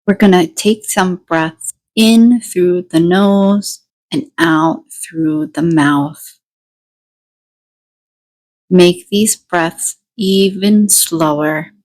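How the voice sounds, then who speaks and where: A woman leading a guided meditation, giving deliberate instructions in short phrases with pauses between them. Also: fairly low pitch, a little mellow.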